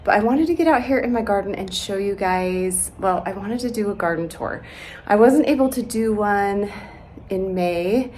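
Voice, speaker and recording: A woman's voice: mid-range voice, animated, moderate pace, a little mellow, a little hiss or hum. Sleepy-voiced woman touring her garden. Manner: chatty, laughs often, trails off mid-sentence. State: sleepy and recovering from a cold. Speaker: home and garden vlogger. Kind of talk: casual garden tour walk-through. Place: outdoors in a front yard garden.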